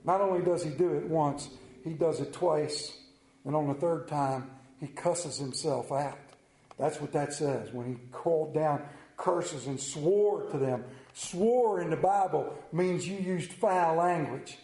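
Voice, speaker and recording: A man's voice: fairly high pitch, dark tone, echoey room, low-quality recording. A man with a Central Maryland accent preaching a sermon, folksy and mixing stories with personal asides. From Central Maryland, United States. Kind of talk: sermon with storytelling.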